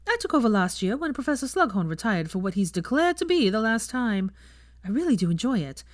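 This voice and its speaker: A woman's voice: fairly high pitch, very animated, brisk, dry recording, clean studio sound, pitched above the performer's own voice. A woman voicing a blunt, cheerful young witch teacher in a story reading, breezy and prone to casual swearing. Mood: chatty, upbeat.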